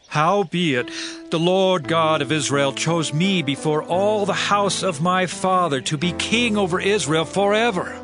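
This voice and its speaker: Solemn declaiming king, high-pitched, over music. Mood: solemn, proclaiming. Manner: declamatory, measured, emphatic. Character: aging male king addressing his people, solemn, commanding. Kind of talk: dramatized scripture oratory. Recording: voice over prominent music bed. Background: background music.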